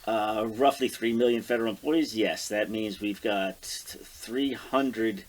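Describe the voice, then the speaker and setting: An American man co-hosting a podcast, chatting casually about politics and citing figures in a halting way as he searches through notes aloud. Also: lowish voice. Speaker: podcast co-host.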